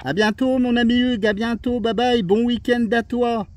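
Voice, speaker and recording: A man's voice: very high voice, fairly even intonation, normal speed, noisy background. A man who works as an amateur radio operator, chatting casually and often repeating his words and phrases. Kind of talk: casual radio contact chat.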